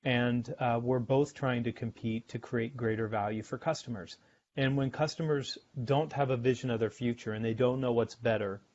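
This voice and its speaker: A middle-aged American man, a sales author and podcast guest, explaining his ideas in a measured way and often repeating words as he thinks. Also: medium pitch, darkish tone. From United States. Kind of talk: podcast interview. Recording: dull lo-fi remote audio.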